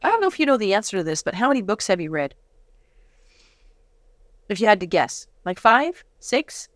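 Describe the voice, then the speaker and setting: A woman's voice: mid-range voice, big pitch swings, normal speed, dry recording, studio quality. A woman hosting a casual interview, encouraging her guests and affirming what they say. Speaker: interview host.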